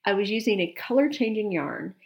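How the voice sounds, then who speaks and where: A woman's voice: medium pitch, lively intonation, a little fast, creaky. A woman co-hosting a knitting podcast, chatting enthusiastically and in detail, sniffling a little. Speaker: podcast co-host. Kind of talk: casual chat about knitting.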